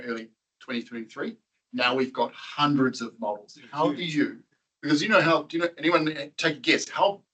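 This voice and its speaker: A man hosting a tech meetup in a loose, conversational way, tossing questions at the room and laughing along. His voice is bright and forward, sitting in a middling pitch. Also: slightly rough, poor audio. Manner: chatty, laughs often, quizzes the audience. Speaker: meetup presenter. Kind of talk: casual talk to a meetup audience.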